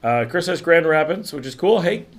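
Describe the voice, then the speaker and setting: A middle-aged man hosting a livestream, chatting calmly in an unhurried, mellow way, his voice a little raspy tonight. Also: a little high. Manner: calm, unhurried, mellow talker. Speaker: livestream host. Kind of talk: casual chat.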